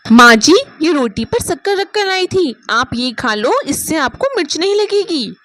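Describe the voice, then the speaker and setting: A woman's voice: high-pitched, sing-song, moderate pace, a bit bright. A woman voicing a sweet, dutiful young daughter-in-law in a cartoon moral story, polite and soothing.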